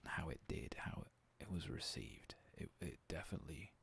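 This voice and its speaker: A man with a hoarse, coarse voice, talking softly and half-whispering as he plays a game in a relaxed, rambling way. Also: a bass voice, normal speed. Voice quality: coarse, gravelly voice. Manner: soft, half-whispered, rambling and self-deprecating. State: hoarse after a long work shift. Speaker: gaming ASMR host. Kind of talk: soft-spoken ASMR gameplay commentary.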